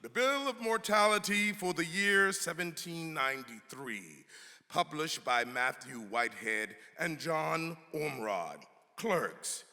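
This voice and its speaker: A man giving a dramatic reading of an old historical text, with emphatic, halting, weighty delivery. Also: fairly high pitch, sharp tone. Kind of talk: dramatic reading of an 18th-century pamphlet. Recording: reverberant hall.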